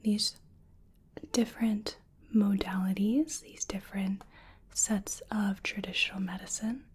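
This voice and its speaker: An American woman doing a soft-spoken ASMR roleplay as a wellness practitioner, whispering gently and soothingly in a breathy voice. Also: mid-range voice, steady tone, slight background noise. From US Midwest. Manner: gentle, soothing personal attention, unhurried with pauses. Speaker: ASMR creator playing a wellness treatment practitioner.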